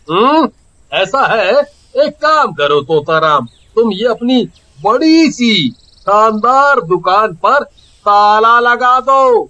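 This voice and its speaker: A man voicing the vengeful ghost of a murdered village husband in a cartoon, emphatic and deliberate. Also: sits high, bright, forceful tone, no room sound, lo-fi sound, heavily acted. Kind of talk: Hindi cartoon voice acting.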